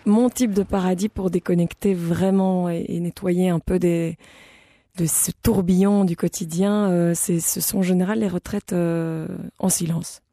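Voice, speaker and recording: A radio guest talking informally, halting and repeating words while explaining something personal. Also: mid-range voice, steady tone, warm tone, dry recording, studio quality. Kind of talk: casual interview talk.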